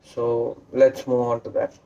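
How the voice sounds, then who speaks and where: A man's voice: mid-range voice, completely flat delivery, measured pace, vocal fry, dark tone, lo-fi sound, a little hiss or hum. A man with a Tamil accent vlogging casually to camera, speaking hesitantly with many fillers and restarts. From South India. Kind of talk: casual vlog talk to camera. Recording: roomy echo.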